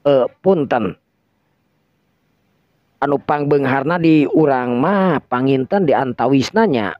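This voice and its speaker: Man acting high-pitched, polite, hesitant villager. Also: slow pace, lightly acted. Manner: hesitant, polite, apologetic, repeating himself. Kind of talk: Sundanese radio drama acting. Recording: dull lo-fi recording with echo.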